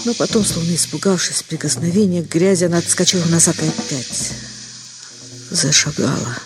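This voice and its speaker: A woman's voice: fairly low pitch, a little fast. A woman narrating a literary story as an actress would, in a dramatic scripted reading. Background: music.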